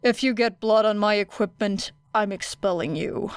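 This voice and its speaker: A woman voicing a stern, tired male teacher in an audiobook, with a terse, even, deadpan manner. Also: medium pitch, normal speed, smooth, no room sound, natural voice. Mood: dry, weary. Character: weary, stern adult male teacher, dryly grumbling. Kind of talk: audiobook character acting.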